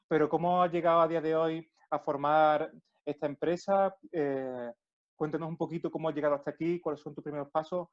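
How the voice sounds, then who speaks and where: Higher-voiced man hosting, dull audio. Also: darkish tone. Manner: chatty, rambling questions. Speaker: interviewer, host. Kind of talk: friendly interview hosting. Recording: dull lo-fi audio with faint music.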